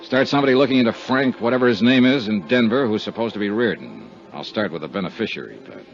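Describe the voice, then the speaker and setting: Weary tough insurance sleuth, lowish voice that swings around, wry n clipped, old dull radio sound w music. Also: male voice, lively intonation, quick pace. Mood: sour, irritated. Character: hard-boiled, world-weary male insurance investigator. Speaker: lead actor. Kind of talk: 1950s radio drama acting. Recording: dull 1950s radio recording, music behind.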